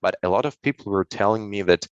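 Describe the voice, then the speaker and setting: A young man from Ukraine speaks English with a Russian-speaking Ukrainian accent. His voice is very low and smooth, and the recording is dry and close. He talks casually, pausing often with fillers. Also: no echo, highs cut off. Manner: hesitant, many fillers and restarts. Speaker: guest, economist. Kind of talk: casual conversation.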